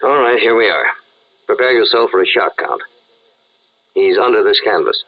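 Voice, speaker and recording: An actor playing a brisk, gruff police inspector in a 1940s radio drama. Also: male voice, on the low side, lively intonation. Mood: brisk, businesslike. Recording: muffled vintage radio recording.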